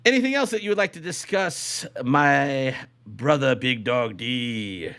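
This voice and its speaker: A man co-hosting a casual unscripted podcast, speaking haltingly and repeating phrases for emphasis. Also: medium pitch, lively intonation, a bit bright, smooth. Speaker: podcast co-host.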